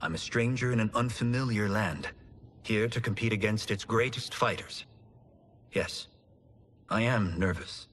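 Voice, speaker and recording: A man's voice: lowish voice, unhurried, long pauses, a bit bright, slight background noise. A man playing a humble, earnest young fighter in a cinematic game, speaking politely and sincerely. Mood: nervous, earnest. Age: young adult. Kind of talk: cinematic video game acting.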